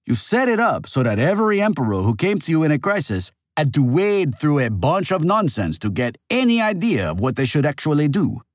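Ancient artificial king for an audiobook, played by a male narrator: pompous, lecturing, hugely animated pitch swings, close dry sound. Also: a little fast, hardly any pauses, dry recording. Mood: pressing, challenging. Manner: theatrical, lecturing. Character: forceful, pompous male artificial monarch, centuries old, morally certain.

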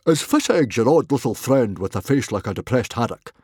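Big dumb hearty viking dad for a kids story, loud blustery husky voice, pitch jumping all over. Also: male voice, expressive, moderate pace, dry recording, clean studio sound. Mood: boisterous, hearty. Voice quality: booming, husky. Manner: roaring, bellowing, blustering. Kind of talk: children's audiobook character voice.

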